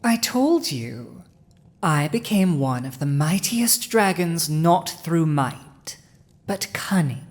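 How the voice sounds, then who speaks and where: Slow, low-voiced haughty dragon ruler played by a woman. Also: full character voice. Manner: smug, commanding, deliberate, with pauses. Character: haughty, cunning villainous dragon ruler in human form. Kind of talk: scripted roleplay audio drama addressed to the listener.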